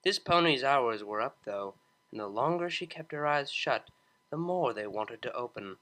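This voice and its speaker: A man narrating a piece of fan fiction in an expressive storytelling style. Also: mid-range voice, normal speed. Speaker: audiobook narrator.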